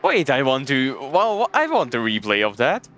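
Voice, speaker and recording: A man's voice: mid-range voice, wildly swooping pitch, normal speed, fairly bright tone. A man from Hungary with a Hungarian accent, casually commentating a sim racing stream and chatting with viewers. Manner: chatty, jokes and thanks chat viewers. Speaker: race commentator.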